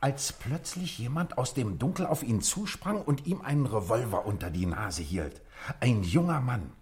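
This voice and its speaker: An actor playing a deferential, fussy male police sergeant in a German radio drama, halting and eager to please as he reports. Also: medium pitch, animated, darkish tone, lightly acted. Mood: eager reporting.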